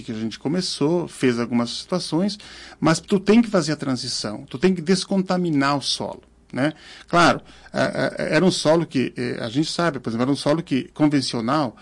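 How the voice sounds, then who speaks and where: A man in his fifties with a southern Brazilian accent, answering interview questions earnestly and haltingly, repeating words as he searches for phrasing. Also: on the high side. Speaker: interview guest, organic farmer.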